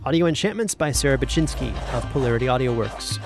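A woman's voice: rumbling low, expressive, quick pace, music in the background. A woman playing a tired, wry teacher and scholar in a fantasy audio drama. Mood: businesslike. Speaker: voice actor.

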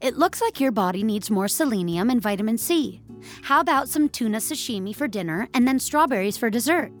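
A woman's voice: fairly high pitch, animated, brisk, faint music behind, natural voice. A woman voicing a cheery, encouraging fitness app assistant in an audio story, upbeat and instructive. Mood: cheery, helpful.

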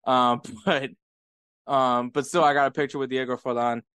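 American man, podcast co-host, animated storyteller, clear voice, dry room. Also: mid-range voice, normal speed, no room sound. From United States. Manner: laughing often, animated storytelling. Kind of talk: casual chat.

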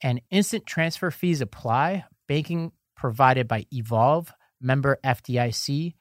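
A man's voice: medium pitch, measured pace, dry recording. Man explaining in a dry close voice. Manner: explanatory, walks through legal details step by step. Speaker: podcast co-host. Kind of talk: casual political podcast chat and ad read.

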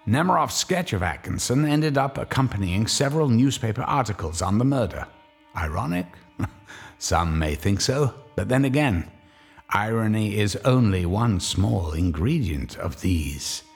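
A man's voice: fairly low pitch, animated, measured pace, vocal fry, husky. A man hosting and narrating a horror radio anthology with ominous, theatrical delivery. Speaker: host and narrator. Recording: studio narration over music.

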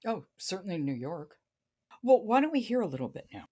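A woman's voice: mid-range voice, very animated, brisk, dark tone, husky. A middle-aged American woman hosting a podcast in a conversational book discussion. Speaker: podcast host.

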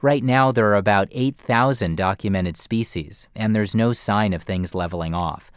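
A male radio reporter narrating a scripted feature story in a measured, storytelling delivery. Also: fairly low pitch, clear, resonant tone, no echo, studio quality.

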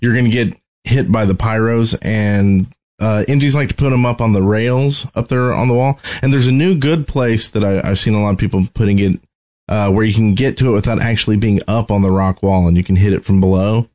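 A man's voice: lowish voice, steady tone, brisk, darkish tone. A man co-hosting a podcast, chatting casually about video game strategy. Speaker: podcast co-host.

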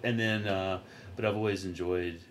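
A man with a deep voice, a sketch comedy performer chatting casually in an interview, rambling and trailing off with many fillers. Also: steady tone, moderate pace, slight background noise.

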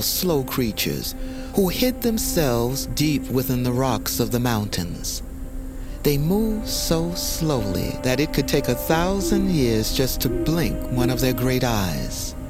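A man narrating a fantasy tale in a solemn, deliberate, dramatic storytelling style. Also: mid-range voice. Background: prominent music.

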